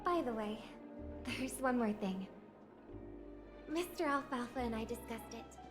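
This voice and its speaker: An actress playing a gentle young woman in a video game, polite and earnest, with a quiet determination. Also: on the high side, expressive, a little slow, music in the background. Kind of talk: scripted game dialogue.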